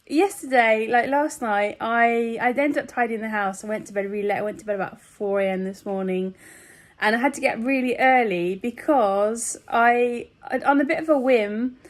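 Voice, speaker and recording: A woman with a fairly high voice talks casually to her viewers as a vlogger. Her delivery is chatty and meandering, in the style of an informal video diary, with a giggly manner. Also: moderate pace.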